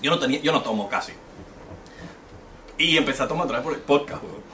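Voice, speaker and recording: A man's voice: medium pitch, quick pace, sharp tone. A man with a Venezuelan accent co-hosting a podcast, joking, swearing and bantering casually. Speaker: podcast co-host. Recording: echoey room, mic at a distance, faint room noise.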